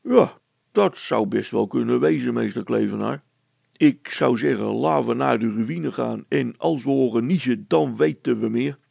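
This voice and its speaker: A man's voice: mid-range voice, moderate pace, vocal fry, darkish tone, breathy edge, dry recording. A man voicing a simple, blunt village policeman in a children's story, with folksy, plain speech. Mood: agreeable.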